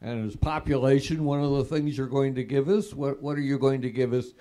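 A man's voice: mid-range voice, fairly even intonation, a little fast, warm tone. A man in the audience asking a panel a playful, pressing question, laughing between phrases in a conference room. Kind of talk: question from the floor.

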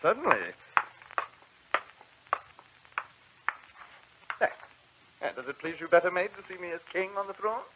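An actor playing a timid, peevish young French prince in an old radio drama, hesitant and fussy. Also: male voice, high-pitched, lively intonation, fast talker, noisy background. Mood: timid, wry. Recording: dull old radio recording.

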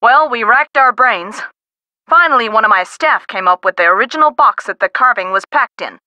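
A woman's voice: on the high side, expressive, moderate pace, pushed and bright, dry recording, muffled recording. A woman voice-acting a friendly, businesslike staffer on the phone in a video game. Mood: friendly, helpful.

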